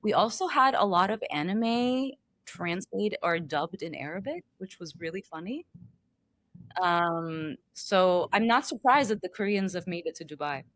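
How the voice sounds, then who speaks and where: A woman on a podcast talking in an animated, emphatic way, with a lot of "like.". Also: lowish voice, measured pace. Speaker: perfumer guest. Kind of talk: casual podcast conversation.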